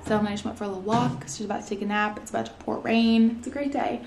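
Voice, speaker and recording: Young woman, casual vlog talk, gravelly edges, home living room, close camera mic. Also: on the high side, steady tone, fast, a little mellow, slight background noise. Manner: chatty, upbeat, lots of "like" and "you guys". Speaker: vlogger.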